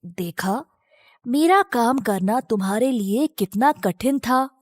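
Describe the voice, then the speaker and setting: Woman as humble working donkey, storybook character, unhurried, dry close recording. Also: a little high, animated, no echo. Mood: reproachful. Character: humble, hardworking, patient male donkey.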